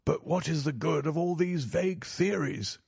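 A man voicing an impatient, stubborn older gentleman banker in a formal, indignant manner for a storybook. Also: on the high side, moderate pace, vocal fry, husky, no room sound, studio quality, voice pushed up.

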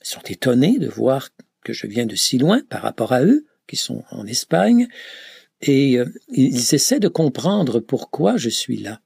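Male pilgrim, slow, husky and gravelly, stop-start telling, dry close sound. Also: mid-range voice, very animated, a little mellow, no echo. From Canada. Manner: hesitant, many filler sounds and restarts. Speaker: pilgrim recounting a journey. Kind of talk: reflective travel account.

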